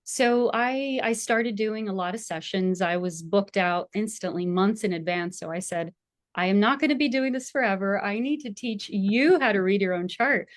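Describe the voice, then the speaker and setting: A woman's voice: medium pitch, normal speed, no room sound. Enthusiastic woman astrologer, dry close sound. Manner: enthusiastic, animated, frequent laughter. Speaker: astrologer and school founder. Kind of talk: conversational interview.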